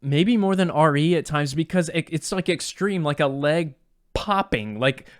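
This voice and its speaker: A man's voice: on the high side, normal speed. A man co-hosting a casual video game podcast, speaking in an enthusiastic, emphatic and slangy way. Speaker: podcast co-host.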